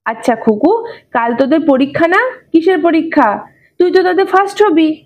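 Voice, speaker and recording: A woman's voice: very high voice, lively intonation, moderate pace, phone-quality sound, pitched above the performer's own voice. A woman voicing an evasive father who blusters and cajoles his small daughter in an audio story. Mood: breezy, distracting. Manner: cajoling and blustering, rhetorical questions.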